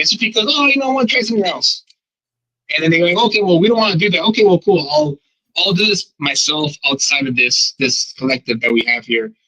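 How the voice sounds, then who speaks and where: Congested man ranting with bright energy. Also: on the high side, husky, rough recording. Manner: animated, emphatic, rambling. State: congested from a cold. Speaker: podcast co-host. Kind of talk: casual chat.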